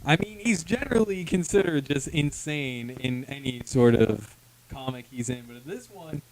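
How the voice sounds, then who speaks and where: Halting man co-hosting a casual review. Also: medium pitch, darkish tone, low-quality recording. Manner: halting, searching for words. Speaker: comic reviewer, co-host. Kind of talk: casual unscripted review chat.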